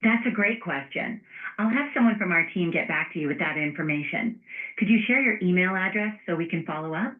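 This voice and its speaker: A woman's voice: fairly low pitch, lively intonation, a little fast. Tinny phone-line female automated receptionist. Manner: polite, formulaic. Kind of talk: scripted customer service.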